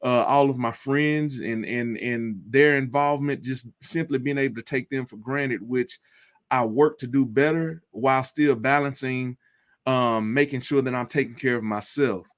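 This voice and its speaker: A man with a mid-pitched voice gives personal testimony as an interview guest. His delivery is earnest and deliberate, with a reflective way of laying out his thoughts. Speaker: guest telling his recovery story.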